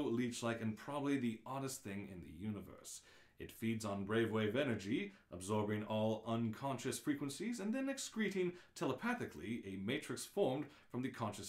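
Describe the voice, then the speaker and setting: Man performing erudite sci-fi guidebook narrator, wry, explanatory, very varied pitch, scripted radio play, roomy echo. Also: natural voice. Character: dry, erudite narrator of a comic galactic guidebook. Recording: roomy, echoing live-stream audio.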